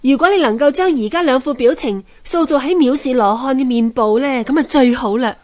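Woman acting a high-voiced, coaxing wife. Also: fairly quick, natural voice. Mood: teasing. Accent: Hong Kong Cantonese. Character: wise, gently advising wife of a sculptor. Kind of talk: Cantonese radio drama acting.

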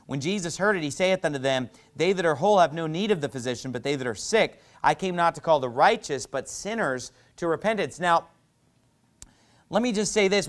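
A man in his thirties with a slightly high voice preaches in a small church. He talks fast and loosely, with asides and lots of gestures, close on a lapel mic. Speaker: pastor. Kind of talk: sermon. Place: small church stage with painted backdrop, indoors.

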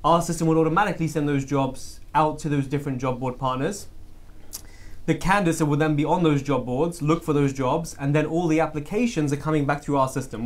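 A man, the founder of a company, explaining at length how his business works in a casual talk show studio interview. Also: fairly high pitch. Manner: steady, explanatory, talks in long run-on lists.

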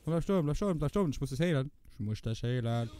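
A man with a Luxembourgish accent giving casual gameplay commentary, excitable and repeating words in quick bursts. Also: mid-range voice. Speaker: gamer commentating own play.

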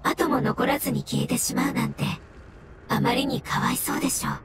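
A woman voicing a gentle, melancholy floating mushroom spirit in an anime game, with polite, solemn delivery. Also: high-pitched, a little fast, background noise, lightly acted. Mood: gentle, hopeful. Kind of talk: Japanese anime game voice acting.

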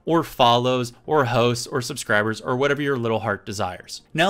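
A man in his thirties presenting a software tutorial in a casual, upbeat way. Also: on the high side. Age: 30s. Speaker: YouTube tutorial host. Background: background music.

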